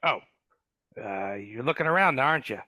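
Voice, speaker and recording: A man's voice: mid-range voice, animated, moderate pace, bright, forceful tone, dry recording. A man voicing a sly, grumbling male dwarf in a live video-call table read, hesitant and wheedling, stammering often. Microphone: video call mic.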